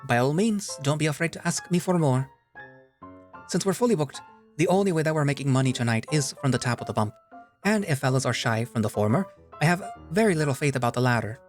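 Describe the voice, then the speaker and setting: A man voicing a brisk, unflappable female squirrel barkeep with a thick Scottish accent, plainspoken and calling people love and lads. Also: mid-range voice, expressive, faint music behind, pitched above the performer's own voice, natural voice.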